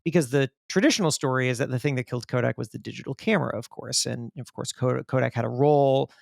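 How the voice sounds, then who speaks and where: A middle-aged man speaks as a podcast guest in an emphatic storytelling way, repeating key phrases to drive points home. His voice has noticeable creak, and the sound is dry and close. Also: medium pitch, fast talker, no echo. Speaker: podcast guest, magazine editor and author. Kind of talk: animated storytelling in an interview.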